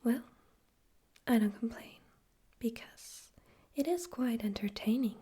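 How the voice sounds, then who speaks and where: Smug radio demon overlord played by a woman, ASMR roleplay. Mellow breathy whisper, higher-pitched, teasing and condescending. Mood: taunting, curious. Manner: slow, teasing, theatrical, condescending. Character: smug male radio demon overlord, politely menacing and amused.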